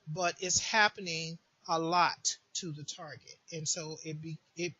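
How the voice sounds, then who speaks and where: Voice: mid-range voice, fairly even intonation, a little slow, bright, forceful tone, husky, no room sound, lo-fi sound. A person giving an informal, earnest advice monologue, repeating phrases for emphasis, as a support group host would.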